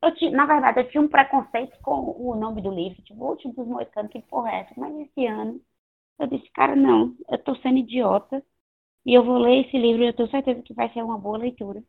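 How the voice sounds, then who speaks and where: A hoarse woman with a Northeastern Brazilian accent co-hosting a casual book podcast, blunt, slangy and given to swearing. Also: on the high side, brisk. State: hoarse from two days of partying. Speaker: podcast co-host. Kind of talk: casual chat about books. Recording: tinny phone-like line.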